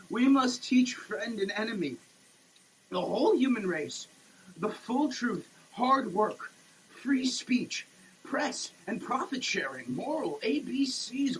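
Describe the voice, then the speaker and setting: A man giving a dramatic, preachy reading with emphatic pauses, like a sermon. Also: high-pitched, slight background noise, poor audio. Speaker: sketch performer. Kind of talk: dramatic reading of a soap label, declaimed like a sermon. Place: shower, per the page.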